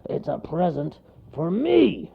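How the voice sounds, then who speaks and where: A man voicing a huge, grumpy bear who wants sleep, with a gruff, growling, exasperated roar in a children's story. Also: on the high side, wildly swooping pitch, slow pace, phone-quality sound, pitched above the performer's own voice, heavily acted. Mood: grimly triumphant. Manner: roaring, exasperated bellowing.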